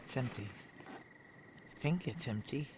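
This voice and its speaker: A woman's voice: very deep voice, animated, takes it slowly, noisy background, full character voice. An actress playing a tough, desperate female security operative who narrates and speaks tersely in a noir sci-fi audio thriller. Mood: tense, wry. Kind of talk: first-person noir audio drama acting and narration.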